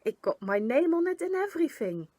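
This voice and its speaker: A woman's voice: very high voice, big pitch swings, brisk, dark tone, no echo, pitched above the performer's own voice. A woman voicing a small, wild, impatient female storybook creature, indignant and haughty, with emphatic delivery. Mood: excited.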